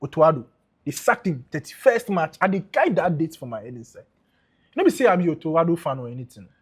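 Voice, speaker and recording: A young man with a Ghanaian accent chats about football in a loose, animated way, slipping into pidgin phrases. His voice sits a little high and carries a husky edge. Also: fairly quick. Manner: animated, mixes in pidgin, laughs often. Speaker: podcast co-host. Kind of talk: casual football chat.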